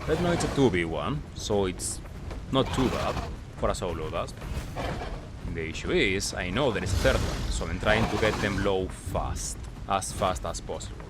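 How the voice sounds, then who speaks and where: A man giving casual gameplay commentary as a recap, talking in short clipped phrases with pauses. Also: deep voice, animated, very noisy. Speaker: gaming video creator.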